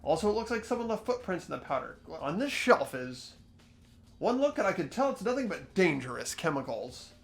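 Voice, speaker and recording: A man's voice: sits high, very animated, brisk, creaky, full character voice. An amateur actor voicing an earnest teenage boy protagonist in a visual novel game, thinking aloud as he investigates. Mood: pondering. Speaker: host of the playthrough. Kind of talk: fan-dubbed visual novel game narration.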